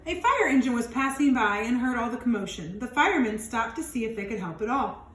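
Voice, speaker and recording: A woman reads a picture book aloud to children in an animated way, stretching words for emphasis and adding chatty, wondering asides. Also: fairly high pitch, a little fast. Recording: echoey room with some background noise.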